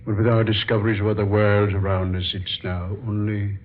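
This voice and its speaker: A man acting out a kindly but resigned king in a radio drama. His voice is low and his delivery measured and stately. The recording is thin and phone-like. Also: flat delivery, lightly acted. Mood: grave, kindly.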